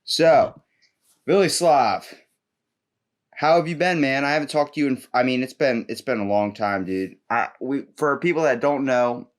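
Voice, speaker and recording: Man, podcast host, mid-range pitch, joking, rambling, animated, casual chat. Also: moderate pace.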